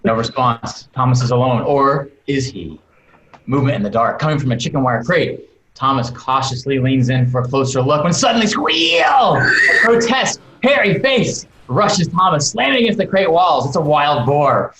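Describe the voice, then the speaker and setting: A man's voice: mid-range voice, expressive, normal speed, fairly bright tone, slight background noise. Dramatic male narrator in a roomy space. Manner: dramatic, emphatic storytelling. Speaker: film director reading stage directions. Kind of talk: scripted narration read aloud. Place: indoors, on a video call. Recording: roomy video call sound.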